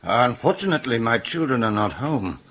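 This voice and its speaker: An actor playing a gentle, hospitable old blind grandfather with courteous, old-fashioned speech in a radio drama. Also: medium pitch, sing-song, fairly quick, breathy edge, exaggerated voice. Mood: gentle, welcoming.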